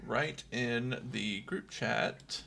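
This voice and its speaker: A man's voice: medium pitch, drawn-out words, creaky, a crowd in the background. A man co-hosting a casual gaming podcast chat, talking eagerly, laughing often and tripping over his words. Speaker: podcast co-host.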